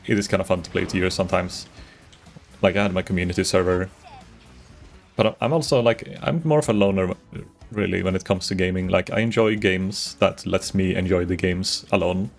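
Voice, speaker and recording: A man's voice: low voice, steady tone, fairly quick, gravelly, soft background music. A Swedish man streaming a game, chatting casually and rambling to viewers. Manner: rambling, chatty, greets viewers, frequent restarts. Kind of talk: casual live-stream chat while gaming.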